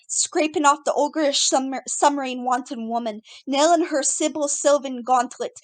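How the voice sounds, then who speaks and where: High-pitched woman reciting surreal poetry. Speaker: poet reading own work.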